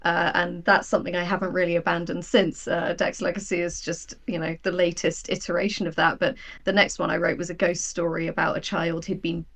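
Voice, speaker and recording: Low-pitched woman, wry and emphatic. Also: a little fast, creaky, few pauses. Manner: animated, self-deprecating, wry emphatic storytelling. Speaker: guest, writer of audio drama. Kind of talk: casual interview chat, personal anecdotes.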